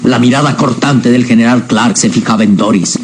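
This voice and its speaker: A man's voice: medium pitch, moderate pace. A man narrating a scripted radio serial in a grave, dramatic, suspenseful manner. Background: loud dramatic music.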